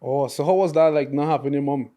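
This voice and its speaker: A man hosting a podcast, asking friendly questions and chiming in with quick agreement. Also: on the high side, dark tone. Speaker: podcast host. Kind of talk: casual interview chat.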